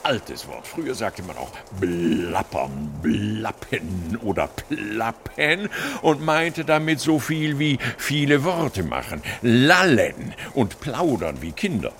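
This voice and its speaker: Retired scholar, children's audio play: excitable, pedantic, very animated melody, frequent vocal fry. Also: male voice, on the low side, takes it slowly, slight background noise, heavily acted. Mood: learned, playful. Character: famous retired fairy-tale scholar, excitable, bookish, talkative gentleman. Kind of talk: children's radio play acting.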